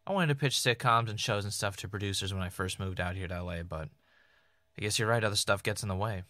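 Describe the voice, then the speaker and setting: A man's voice: lowish voice, fast talker, pushed and bright, no room sound, studio quality. A man playing a driven, uneasy podcaster investigating mysterious tapes, earnest and conversational in an audio drama. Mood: casual. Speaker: podcast host.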